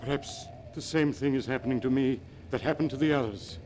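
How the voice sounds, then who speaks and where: A man's voice: medium pitch, fairly quick, lightly acted. A man playing a haunted middle-aged uncle who dreads madness and death, in melodramatic 1940s radio style. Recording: hissy old 1940s radio recording.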